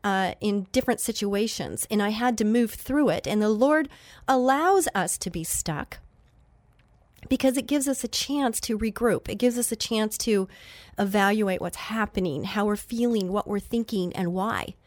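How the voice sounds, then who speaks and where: A woman's voice: medium pitch, normal speed, no room sound. Nasal-sounding woman hosting faith radio. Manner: chatty, earnest, with many fillers and restarts. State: nasal from allergies. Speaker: radio show host.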